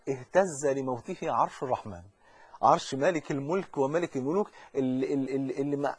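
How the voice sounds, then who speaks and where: A man's voice: a little high, slightly rough. A man with an Egyptian accent preaching a religious lesson, fervent and urgent, speaking straight to the listener and often repeating or restarting phrases. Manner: frequent repetitions and restarts.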